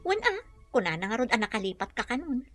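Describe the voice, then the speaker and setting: A woman's voice: fairly high pitch, big pitch swings, fast talker, fairly bright tone, faint music behind. An actress playing an exasperated city woman questioning her friend in a radio drama. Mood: matter-of-fact. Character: exasperated city friend who runs a boarding house.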